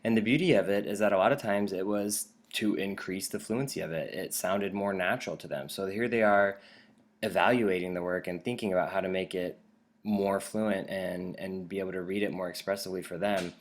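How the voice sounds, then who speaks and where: A man's voice: low-pitched, a little fast. An American man speaking as a teacher, giving an informal instructional presentation in an encouraging manner.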